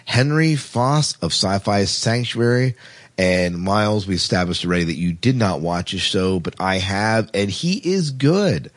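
A man's voice: fairly low pitch, expressive, a little slow. A man hosting a podcast in casual discussion, often doubling back to repeat his phrases. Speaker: podcast host. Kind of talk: casual discussion of TV sci-fi characters.

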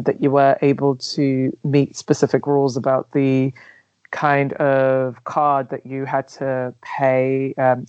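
A woman in her thirties with a London accent, a travel blogger answering interview questions thoughtfully with many pauses and fillers. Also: a bass voice, a little mellow, dry recording. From Nigeria. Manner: hesitant, many ums, thoughtful. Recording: dull dry recording.

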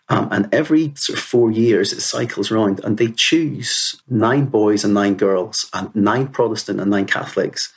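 A man's voice: on the low side, breathy edge. A man in his fifties with a Northern Irish accent, telling personal stories earnestly and conversationally as an interview guest. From Northern Ireland. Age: 50s. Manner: earnest, conversational, peppered with "you know". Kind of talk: reflective personal storytelling in conversation.

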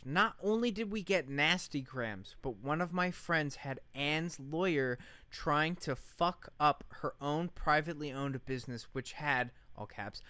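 A man's voice: on the high side, pushed and bright, clean tone, dry recording. A man co-hosting a podcast, reading aloud and chatting in an informal, sweary way. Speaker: podcast co-host. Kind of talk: casual podcast chat and reading aloud from a post.

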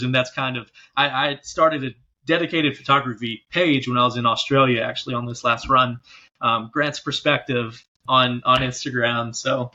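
A man's voice: medium pitch, bright, forceful tone. A man chatting casually as an interview guest, heard over slightly dull, lo-fi call audio. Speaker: interview guest, keyboard player. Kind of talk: casual chat.

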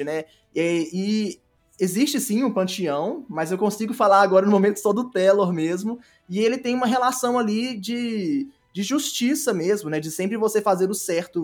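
A Brazilian man speaking casually as an interview guest, explaining in a rambling way and often rephrasing himself. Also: high voice. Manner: rambling, self-correcting, repeats phrases. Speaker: podcast guest, audio drama creator.

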